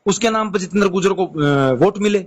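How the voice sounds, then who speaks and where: High voiced guy arguing on the phone, keeps pushing his point, busy chatter behind him. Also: brisk. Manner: insistent, repeats himself and interjects. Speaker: caller, community activist. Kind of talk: argumentative phone conversation.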